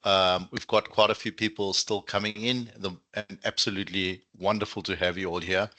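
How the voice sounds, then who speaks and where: South African man, low voice, bright and forceful tone, chatty, enthusiastic, informal online presentation. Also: fairly even intonation, moderate pace. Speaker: student recruitment manager presenting.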